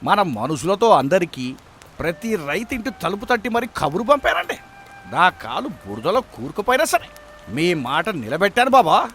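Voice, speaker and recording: Loud, eager village helper played by a man. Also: high-pitched, big pitch swings, normal speed, a little hiss or hum, heavily acted. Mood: proud, dutiful. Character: eager, loyal village errand helper, male. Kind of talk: dramatized moral story voice acting.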